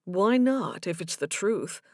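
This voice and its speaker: Woman reading as an earnest, gentle man, dry sound. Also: mid-range voice, lively intonation, slow, dry recording. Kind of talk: audiobook character dialogue.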